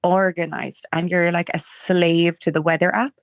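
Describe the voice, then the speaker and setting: A woman's voice: on the low side, flat delivery, normal speed, no echo, studio quality. A young adult Irish woman, a wildflower guide, chatting enthusiastically in an interview with lots of "like". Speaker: guest, wildflower walk guide. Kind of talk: conversational interview. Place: island home.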